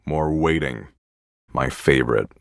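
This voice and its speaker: An actor playing a gloomy, sulky, sarcastic nihilist in a video game, grumbling in a morose deadpan. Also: male voice, extremely low pitch, a little slow, gravelly, dry recording, clean studio sound, heavily acted. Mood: deadpan, weary. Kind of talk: video game character acting.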